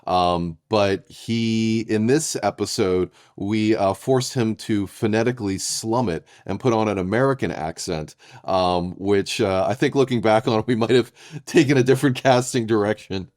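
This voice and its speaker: A man, a podcast writer and co-creator, talking in a thoughtful, halting way, often restarting his sentences. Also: lowish voice, darkish tone, no room sound, clean studio sound. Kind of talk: casual podcast intro chat.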